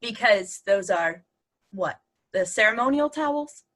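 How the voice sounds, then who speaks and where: A woman's voice: medium pitch, animated, measured pace, a bit bright. A woman playing a snarky, teasing wife in a conversational comedy play reading, full of sarcasm. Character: snarky, joking wife of the anxious son.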